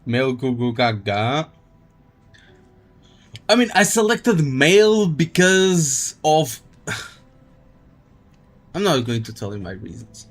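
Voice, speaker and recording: Slow-paced man streaming, very animated pitch. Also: soft background music. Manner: crude joking, slangy. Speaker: livestreamer. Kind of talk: casual game livestream commentary.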